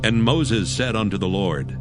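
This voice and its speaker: A man narrating a dramatized scripture reading in a steady, measured storytelling manner. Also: fairly low pitch. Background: loud music.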